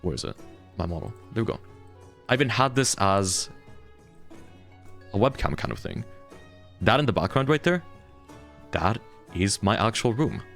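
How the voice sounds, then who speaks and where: Deep-voiced young man, blunt heated rant, low pitch, soft music underneath. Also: big pitch swings, normal speed, vocal fry, pauses often. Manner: frank and heated, swears freely. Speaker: VTuber streamer. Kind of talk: candid ranting monologue.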